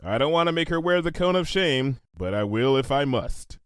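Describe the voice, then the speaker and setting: A man's voice: mid-range voice, expressive, moderate pace, fairly bright tone, clean tone, no room sound, studio quality, voice pushed up, close to an everyday voice. A man voicing a studious, confident female unicorn scientist who talks in a clinical, dictating manner in a storybook reading. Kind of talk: fan fiction storybook character voice.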